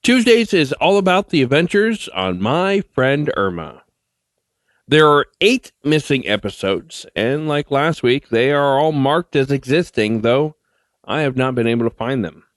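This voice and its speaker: A man's voice: mid-range voice, animated, unhurried, dry recording, studio quality. A man hosting a podcast, reading a scripted introduction. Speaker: podcast host.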